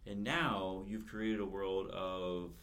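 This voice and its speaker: A man's voice: low voice, steady tone, slow pace, fairly bright tone. A man in his forties hosting a podcast in casual conversation, emphatic and swearing casually. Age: 40s. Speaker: podcast host, fitness coach.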